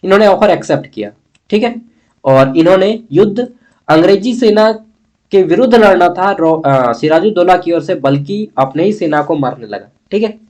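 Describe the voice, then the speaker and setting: A man's voice: sits high, lively intonation, normal speed, a little mellow. A man with an eastern Indian Hindi accent from the Bihar-Jharkhand belt teaches history informally, repeating points and answering his own questions. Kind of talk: informal exam-prep lesson, explaining a text.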